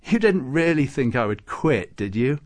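A man's voice: mid-range voice, big pitch swings, normal speed, pitched above the performer's own voice, close to an everyday voice. A man voicing a weary but dutiful old Christmas toymaker in a storybook, frank in manner. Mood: teasing. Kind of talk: storybook character voice.

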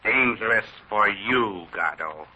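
A man's voice: on the low side, animated, pushed and bright, slightly rough, dull audio, exaggerated voice. An actor playing a cold, formal, scheming male villain leader in a 1940s radio drama. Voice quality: measured, formal, menacing.

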